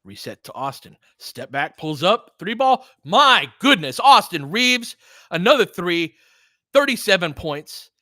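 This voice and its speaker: A man giving informal, partisan live basketball commentary as host, excitable, with whoops and casual swearing. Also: high voice, measured pace, dry recording, studio quality.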